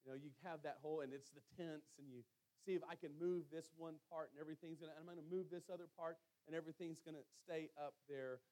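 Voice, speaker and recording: Male preacher, high-set voice, sermon delivery that leans on repetition and weighted pauses for emphasis. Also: steady tone, dark tone, poor audio. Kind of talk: sermon with scripture reading and storytelling.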